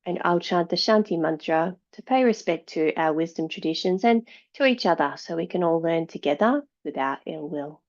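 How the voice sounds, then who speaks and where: A woman yoga teacher guiding meditation and breathing in a measured, soothing way, leaving long pauses between phrases. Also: on the low side. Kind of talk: guided yoga and meditation instruction. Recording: dull, slightly lo-fi sound.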